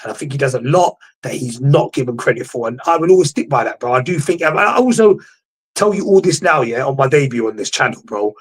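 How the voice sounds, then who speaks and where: A man's voice: mid-range voice, lively intonation, fast talker, slightly rough. A young man, a guest pundit in a casual football debate, speaking with confidence and saying "bro" constantly. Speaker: guest pundit, podcast host.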